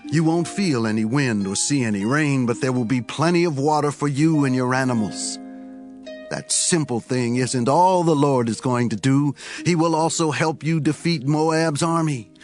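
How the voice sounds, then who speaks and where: A man's voice: mid-range voice, moderate pace, soft background music, lightly acted. Stern prophet for Bible audio drama, male actor: medium register, solemn proclamation, scornful authority. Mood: solemn, proclaiming. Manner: solemn, declamatory.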